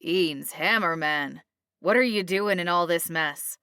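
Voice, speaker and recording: A woman's voice: fairly low pitch, a little slow, sharp tone, clean tone, dry recording, clean studio sound. A woman voicing a thin, authoritative male military captain in a storybook, sceptical, clipped and sardonic. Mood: questioning.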